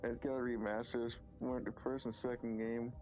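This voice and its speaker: A man's voice: mid-range voice, little pitch movement, a little fast, darkish tone, faint music behind. A young man chatting casually about games in a slangy, rambling way, on a dull recording. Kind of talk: casual gaming chat.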